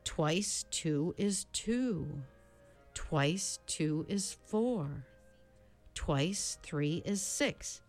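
A woman reading an audiobook, voicing a sinister, bland man who mocks children and chants numbers slowly. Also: on the low side, big pitch swings, a bit bright, soft background music. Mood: chanting. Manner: slow, drawn-out, chanting.

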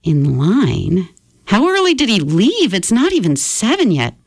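An anxious young boy pressing his family with urgent questions in a storybook reading, put on by a woman. The voice rises high and pleading, with lively swings. The sound is dry and close. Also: a little slow, no room sound, exaggerated voice.

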